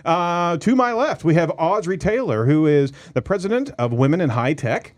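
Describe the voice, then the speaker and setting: A man hosting a casual studio talk show, joking and energetic, often stumbling and repeating words. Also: fairly high pitch, moderate pace. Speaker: host.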